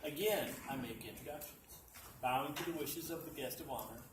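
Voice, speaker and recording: A man reading a humorous story aloud at a library dinner event, in a dry, deadpan storytelling manner. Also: mid-range voice, a little fast. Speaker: reader at a literacy event. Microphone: distant mic. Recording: echoey room, distant mic, background noise.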